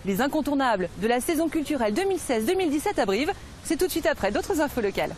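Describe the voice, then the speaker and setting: A woman, presenting a regional TV culture segment, lively, with some hesitant fillers. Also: high voice, fast talker, soft background music.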